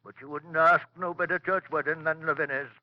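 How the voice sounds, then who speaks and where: A man's voice: fairly high pitch, steady tone, brisk, close to an everyday voice. An actor playing a half-insane, boastful old rural grandfather who talks in cryptic rustic dialect, in 1940s radio drama style. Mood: sly. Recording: dull old radio broadcast.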